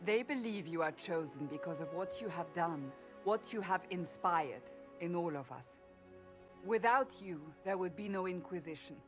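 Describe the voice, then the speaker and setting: A woman playing a stern, earnest military leader in a fantasy game, speaking in a solemn, measured way. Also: fairly low pitch, animated, soft background music, lightly acted. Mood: earnest, encouraging. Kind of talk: dramatic fantasy game dialogue.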